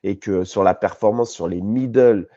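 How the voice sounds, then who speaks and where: A French man co-hosting a podcast argues his case with insistence, nasal from a cold. His voice sits warm and mellow. The recording sounds dull and lo-fi. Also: fairly low pitch, moderate pace, no echo. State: has a cold, coughing. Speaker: podcast co-host. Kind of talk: casual podcast debate.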